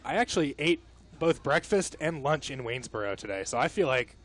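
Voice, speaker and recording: A man giving casual live color commentary on a baseball broadcast, sometimes halting and repeating words. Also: medium pitch, lively intonation, fairly bright tone.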